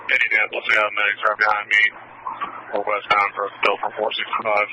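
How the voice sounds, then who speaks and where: A man's voice: on the low side, monotone, fast, pushed and bright. A man speaking as a police officer in terse, procedural status reports over two-way radio. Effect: two-way radio transmission. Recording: tinny police scanner radio audio.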